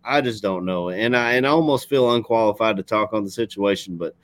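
A man's voice: lowish voice, a little fast. A man with a Kentucky accent hosting a casual talk show, speaking earnestly and in a rambling way. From Kentucky, USA. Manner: earnest, rambling, frequent "you know what I'm saying". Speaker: show host. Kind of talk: casual podcast chat.

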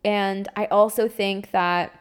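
A woman's voice: mid-range voice, flat delivery, takes it slowly, clean tone. A woman in her twenties as a podcast host, telling a candid, chatty storytime monologue full of fillers and small laughs. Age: 20s.

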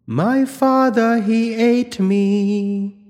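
A man voicing an eerie singing bird, the spirit of a murdered boy, in a slow chanting singsong. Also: extremely high pitch, fairly even intonation, darkish tone, in a large hall, soft background music, voice pushed up, heavily acted.

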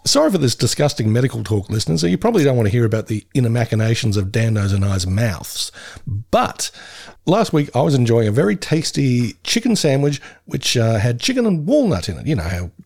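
A middle-aged man co-hosts a podcast in a mid-range voice that swoops up and down with lively intonation. He tells jokey, animated stories, full of ums and uhs. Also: medium pitch, a little fast, gravelly. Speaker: podcast co-host. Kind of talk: casual podcast chat.

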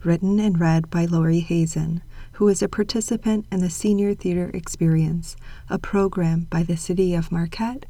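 A woman's voice: fairly low pitch, fairly even intonation, normal speed, dark tone, no echo. A woman hosting a podcast, speaking in a presenter's style for introductions and interview talk. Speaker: podcast host. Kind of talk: scripted narration and warm-up interview chat.

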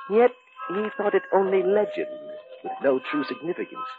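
A lonely, nervous male collector spinning eerie legends in an old radio horror drama. His delivery is theatrical and swings widely in pitch, with hesitations and dramatic swells. The recording is thin and band-limited, with music underneath. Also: narrow, tinny audio. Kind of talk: 1940s radio horror acting.

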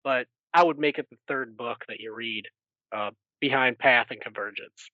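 Male podcast co-host, mid-pitched, rambling and self-correcting, close dry lo-fi recording. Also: moderate pace, bright, forceful tone, no echo. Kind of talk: casual book recap chat.

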